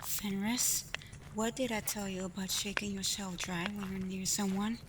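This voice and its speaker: A woman playing a caring, teasing female alpha werewolf in an intimate, softly spoken ASMR roleplay. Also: mid-range voice, fairly even intonation, noisy background, lightly acted. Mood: fond, chatty. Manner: playful, unhurried, soft, at times whispering. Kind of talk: ASMR roleplay, intimate acting addressed to listener.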